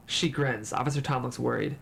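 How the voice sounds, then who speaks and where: Guy reading script directions dramatically, middle pitch, bit of background noise. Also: steady tone, a little fast, vocal fry, a bit bright. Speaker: stage-direction narrator. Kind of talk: scripted narration at a table read.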